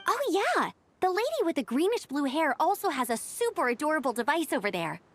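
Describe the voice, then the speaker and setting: A woman's voice: sits high, sing-song, brisk, slight background noise. A woman voicing a friendly, enthusiastic young inventor with a chipper manner in an anime-style video game. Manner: chipper, explanatory. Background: background music.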